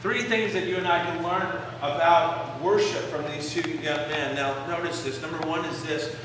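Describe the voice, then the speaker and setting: High-voiced pastor, echoey church from far off. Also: male voice, fairly even intonation, noisy background. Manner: explanatory, gestures while preaching, pauses on key phrases. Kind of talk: sermon. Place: church sanctuary, indoors, medium-sized. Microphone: far camera in the pews.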